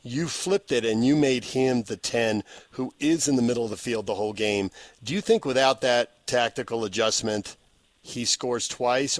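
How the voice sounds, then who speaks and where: A man's voice: medium pitch, dry recording. A man hosting a sports radio interview, laying out long, structured questions point by point.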